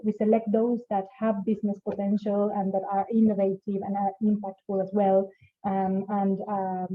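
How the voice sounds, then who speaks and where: A woman from Argentina with an Argentine accent, presenting at an online conference as an organization director, with many fillers and restarts. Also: medium pitch. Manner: many fillers and repeated starts. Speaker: organization director, panel speaker. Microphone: video call. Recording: tinny phone-like call audio.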